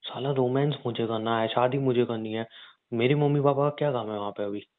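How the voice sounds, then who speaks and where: Fast-talking young man on a tinny phone line. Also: mid-range voice, fairly even intonation. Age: young adult. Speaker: boyfriend on a phone call. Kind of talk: casual relationship banter on a phone call. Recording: tinny narrowband phone call.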